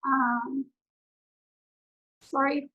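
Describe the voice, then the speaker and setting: Argentine lady in her 40s, spanish is her first language, teaching over video call, high voice, lots of ums and restarts. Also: little pitch movement, bright, forceful tone, muffled recording. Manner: earnest, halting, with many fillers and restarts.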